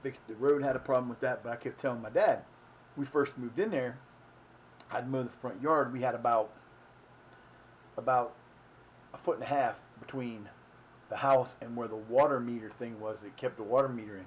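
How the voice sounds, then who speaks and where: A man's voice: mid-range voice, normal speed, long pauses, a little hiss or hum. Rambling man in his fifties, muffled audio. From Ohio, United States. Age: 50s. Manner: meandering, self-correcting, folksy. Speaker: livestream host. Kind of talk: rambling casual storytelling.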